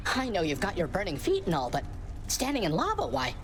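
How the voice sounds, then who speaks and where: Dopey, cheeky young male god, high voice, bubbly swooping delivery, teasing, game character. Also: huge pitch swings, brisk, a bit bright, heavily acted. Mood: cheerfully mocking. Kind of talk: video game dialogue.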